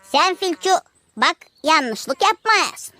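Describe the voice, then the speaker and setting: Very high-pitched bossy little character. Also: sing-song, takes it slowly, pushed and bright, heavily acted. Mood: warning. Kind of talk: animated cartoon voice acting. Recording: music behind the voice.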